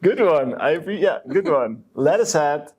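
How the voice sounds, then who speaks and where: A man co-hosting a podcast in casual chat, with a hesitant, halting way of talking that often restarts mid-sentence. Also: a little high, lively intonation, a little mellow, husky. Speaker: podcast co-host.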